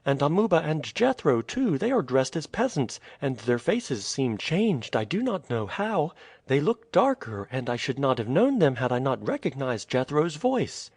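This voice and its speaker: A high, pleading young girl, tender and easily frightened, as a male reader puts her on. Her voice sits far above the reader's own and is close and dry, with no room around it. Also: sing-song, moderate pace, a little mellow, breathy edge, no room sound. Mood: bewildered. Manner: pleading, emotional. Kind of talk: audiobook reading.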